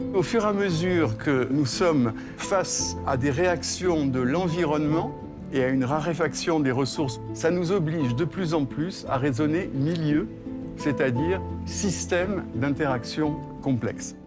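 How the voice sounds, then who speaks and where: A man explaining abstract ideas in the manner of an expert interviewed for a documentary. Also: a little high. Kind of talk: interview commentary in a documentary film.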